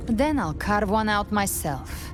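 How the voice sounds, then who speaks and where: A woman's voice: medium pitch, expressive, measured pace, music in the background, natural voice. An actress playing a proud, fearless young woman warrior in a video game, cool, curt and confident. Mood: cool, defiant. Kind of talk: dramatic video game voice acting.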